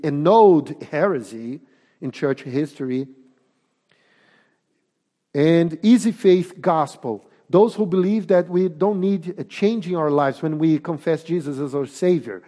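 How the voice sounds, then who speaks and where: A man with a Portuguese accent delivers a sermon in a high, measured voice. He speaks slowly and emphatically, pausing often. His voice rings with the long reverb of a large hall. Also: darkish tone. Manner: deliberate, emphatic preaching with pauses. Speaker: preacher.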